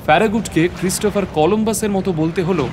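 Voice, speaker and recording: An actor playing an adventurer who narrates a suspenseful sea voyage in a dramatic, literary storytelling style. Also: male voice, high voice, fast, background noise, lightly acted.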